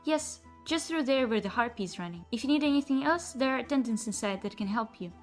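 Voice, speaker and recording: A woman's voice: a little high, expressive, a little fast, natural voice. A woman voicing a courteous, gossipy cat-girl bathhouse attendant in a fantasy audiobook, with formal phrasing. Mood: polite, explaining. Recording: music behind the voice.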